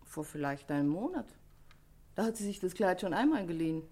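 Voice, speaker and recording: An actress playing a brisk, testy, chatty dress-shop owner, speaking brusquely and colloquially in a German radio crime play. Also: female voice, fairly low pitch, very animated, warm tone. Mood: explanatory.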